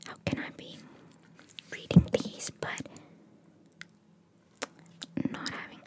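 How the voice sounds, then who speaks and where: A woman whispering very close to the microphone as an ASMR host, reading trivia aloud with casual, rambling asides and softly repeated phrases. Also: takes it slowly. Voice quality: breathy unvoiced whisper. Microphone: very close microphone, cupped by hand.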